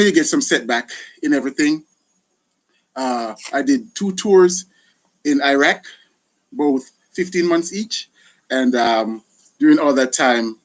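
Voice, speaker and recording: A middle-aged man with a Jamaican accent hosting a casual live chat, thanking people often. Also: fairly high pitch. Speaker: gardening channel host, retired soldier.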